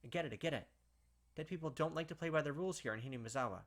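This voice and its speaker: A man's voice: on the high side, racing, a bit bright. A man narrating a visual novel as a distraught, obsessive young killer who doubts his sanity, brooding and repeating himself insistently. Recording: game noise behind the voice.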